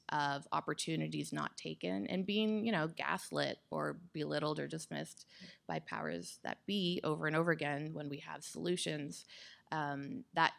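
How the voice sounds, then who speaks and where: A woman guest expert answers questions in an onstage interview. Her voice sits low and is very gravelly with fry. She speaks in measured, well-formed sentences. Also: fairly bright tone. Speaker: guest expert on climate psychology. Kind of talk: thoughtful interview answers on stage. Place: indoor stage with wood-slat backdrop. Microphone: microphone on boom stand.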